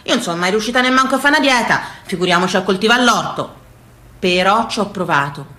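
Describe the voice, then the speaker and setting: A woman performing a comic autobiographical stage monologue in a theatrical, expressive storytelling manner. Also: mid-range voice, quick pace.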